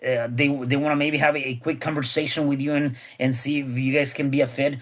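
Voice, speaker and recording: Podcast host, man, chatty and rambling, middle-pitched voice. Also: little pitch movement, a little fast, a bit bright. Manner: rambling, many repeated words and restarts. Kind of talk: casual podcast conversation.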